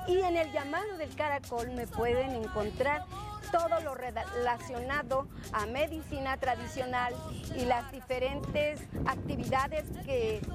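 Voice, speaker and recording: A woman with a high voice whose first language is Zapotec answers an interviewer about her work as a singer and healer. She talks earnestly, often restarting and repeating words while she searches for them. Music plays steadily beneath her voice. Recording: music playing under the voice.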